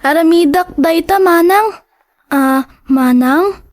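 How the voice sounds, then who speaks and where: A woman's voice: very high voice, slow. A woman with an Ilocano accent playing a meek, obedient schoolgirl sister in a radio drama. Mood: meek, sad.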